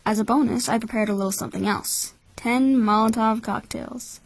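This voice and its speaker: A woman voicing a cynical, calculating twelve-year-old orphan boy in a measured, blunt anime-style fan dub. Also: a little high, steady tone, no echo, close to an everyday voice. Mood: cool, calculating.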